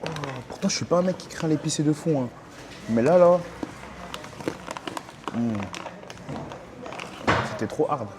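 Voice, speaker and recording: A young man from France vlogging his walk through busy city streets, talking to his viewers in excitable, slangy speech. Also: a little high, unhurried, long pauses, noisy background. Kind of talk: casual travel vlog talk. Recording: music mixed under the voice.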